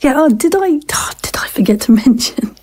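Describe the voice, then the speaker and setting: A woman playing a cold, zealous war-veteran doctor in an audio drama, speaking in a halting, pointed, condescending way. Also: sits high, animated, a little mellow. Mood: falsely sweet, veiled threat.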